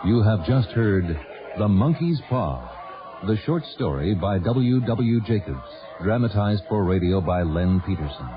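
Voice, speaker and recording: Male credits announcer, formal and measured, fairly low pitch, dull vintage radio sound. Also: expressive, a crowd in the background. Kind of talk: scripted closing credits announcement. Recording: muffled old radio broadcast.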